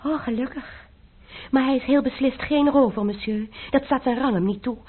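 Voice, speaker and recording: Coy young marquise. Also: female voice, high voice, normal speed, slightly rough. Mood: teasing. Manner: coquettish, teasing. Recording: tinny old radio broadcast.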